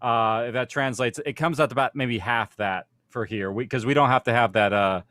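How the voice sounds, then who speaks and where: A man's voice: medium pitch, steady tone, normal speed, a bit bright. A man with a mid-range voice explains things informally as a panelist. His sound is dry, with very little room echo. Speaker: audio fiction panelist.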